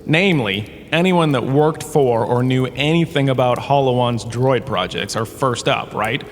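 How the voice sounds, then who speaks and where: A man's voice: mid-range voice, unhurried, close to an everyday voice. A man playing a glib, self-amused washed-up drama star giving a wry, conversational comedic lecture with theatrical emphasis. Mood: storytelling. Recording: echoey cave-like reverb, ambient noise behind.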